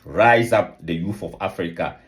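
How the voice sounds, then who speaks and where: A man with a somewhat low voice delivers a fiery address straight to his viewers. He hammers points through repetition and rhetorical questions, swelling louder as he urges them to rise up. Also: normal speed. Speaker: activist channel host. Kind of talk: impassioned address to camera.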